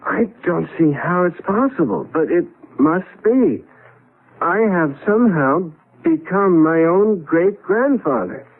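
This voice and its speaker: An actor playing a bewildered, wry husband in a 1970s radio drama, earnest and emphatic, sometimes halting. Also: male voice, high-pitched, animated, heavily acted. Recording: tinny, band-limited vintage radio recording.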